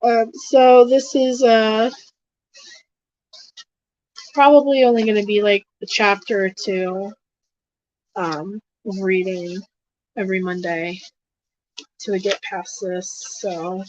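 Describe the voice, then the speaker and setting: A hoarse, congested woman hosting a livestream, rambling casually with lots of fillers and restarts. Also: medium pitch, flat delivery, slow pace, pauses often, darkish tone, dry recording, lo-fi sound. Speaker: host of an advocacy livestream. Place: home desk.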